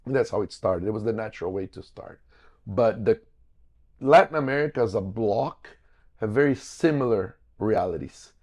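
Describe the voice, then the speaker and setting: A man's voice: lowish voice, expressive, normal speed, a little mellow. A man from Brazil, a native Portuguese speaker, explaining things step by step as an interview guest. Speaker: podcast guest, financial business owner.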